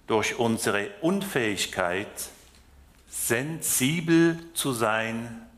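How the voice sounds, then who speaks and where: A man from France who grew up speaking French, with a Swiss German accent, preaching a sermon in a slow, hesitant way with fillers and restarts. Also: medium pitch, a bit bright. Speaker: lay preacher.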